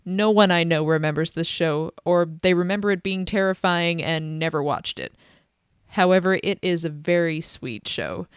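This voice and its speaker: A woman's voice: low-pitched, smooth, no room sound, studio quality. A young woman reading scripted video essay narration.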